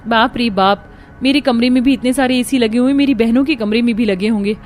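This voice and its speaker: A woman's voice: on the high side, a little fast, darkish tone, soft background music, lightly acted. A woman voicing an earnest, dutiful young daughter-in-law in a cartoon story. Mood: amazed, delighted.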